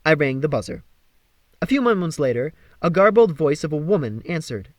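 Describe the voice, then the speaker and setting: A man reads fiction aloud with theatrical flair and a very lively melody. His voice sits a little high, and the sound is dry with hardly any room. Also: fairly quick, no room sound. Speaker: amateur audiobook reader. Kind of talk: expressive scripted story narration.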